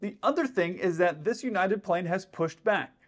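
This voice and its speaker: Pilot in his thirties, fairly high voice, casual explainer to camera, indoor room. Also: expressive, normal speed. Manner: explanatory, with frequent "let's say" asides. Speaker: airline pilot commenting on radio audio.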